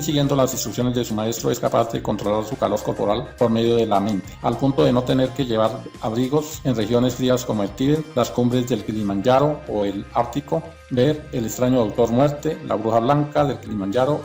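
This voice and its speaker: A man's voice: medium pitch, normal speed, vocal fry, a little mellow, soft background music. A man reading scripted documentary narration.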